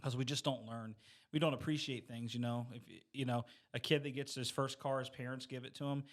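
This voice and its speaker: A man's voice: medium pitch, fairly even intonation, a little fast. A middle-aged man in a casual faith discussion, speaking in an earnest, plain-spoken way and repeating points for emphasis.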